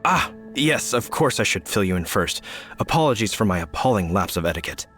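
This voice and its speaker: An actor playing an earnest, courteous city knight in a video game, speaking formally and eagerly. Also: male voice, on the low side, big pitch swings, brisk, a bit bright. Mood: apologetic, polite. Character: earnest, polite male city knight on duty. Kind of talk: video game character acting. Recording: game music behind the voice.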